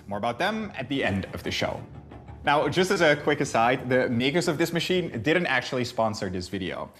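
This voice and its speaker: A man's voice: mid-range voice, a bit bright. A man presenting a science documentary with enthusiastic scripted narration. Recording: background music, played within a stream. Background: clear background music.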